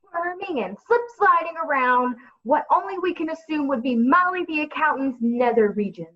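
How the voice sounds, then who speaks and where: A woman with a high voice narrates a comedy script wryly. The sound is a little dull, and her delivery is tongue-in-cheek. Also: expressive, moderate pace. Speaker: comedy troupe performer, narrator.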